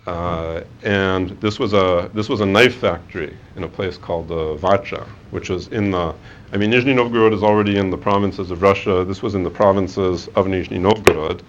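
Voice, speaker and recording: A man's voice: deep voice, moderate pace, highs cut off, slight background noise. A man in his forties giving a conversational career talk to students, with many fillers and restarts. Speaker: guest speaker. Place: lecture room.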